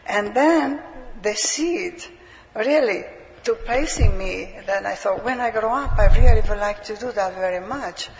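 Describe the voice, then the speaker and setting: A woman's voice: medium pitch, unhurried, slight background noise. Hesitant Italian-accented woman testifying. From Italy. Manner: hesitant, searching for words. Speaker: preacher's wife giving testimony. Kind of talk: personal testimony. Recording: dull sound with long hall reverb.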